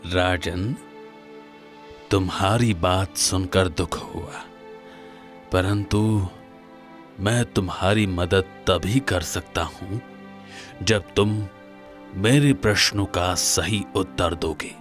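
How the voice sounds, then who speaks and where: A man voicing a wise old forest sage in a cartoon moral story, speaking in grave, deliberate pronouncements. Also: low-pitched, soft background music, voice pushed down.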